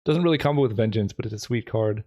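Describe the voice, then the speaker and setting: A man with a hoarse voice, casually commenting to himself as he drafts cards for a video, thinking aloud with lots of fillers. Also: on the low side, fast, warm tone. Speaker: gaming video creator. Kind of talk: casual commentary while drafting a card game.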